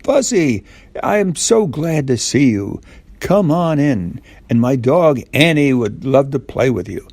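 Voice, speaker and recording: A man's voice: medium pitch, animated, measured pace, a little mellow, dry recording, voice pushed up. An older man voicing a kindly, hospitable girl in a children's bedtime story, with a welcoming, generous manner. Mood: welcoming, glad.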